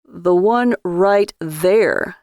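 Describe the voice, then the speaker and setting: A woman's voice: lowish voice, very slow, darkish tone, no echo, clean studio sound. A woman voicing a childish, gullible, easily wounded husband in an audio story. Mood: calm disbelief.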